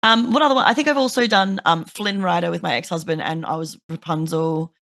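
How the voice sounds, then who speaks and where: Brief: woman host with a lowish voice, dry close sound, chatty, swears a lot. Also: a little fast, dry recording. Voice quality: deeper voice. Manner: chatty, swears freely, animated storytelling. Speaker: podcast host. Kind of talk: casual chat.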